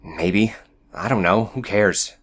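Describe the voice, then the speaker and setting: A man's voice: lowish voice, takes it slowly. A man voicing a tired, curt, sardonic teenage boy in an audiobook drama. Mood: tired, dismissive. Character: world-weary, sardonic teenage tough boy who smokes. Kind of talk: audiobook character acting.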